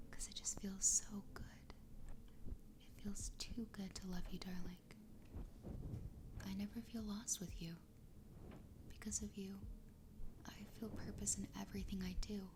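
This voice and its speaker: A woman voicing a doting, possessive yandere fox girl wife in a soft, breathy ASMR whisper, tender and soothing. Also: mid-range voice, natural voice. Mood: fervently devoted. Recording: clear background ambience noise.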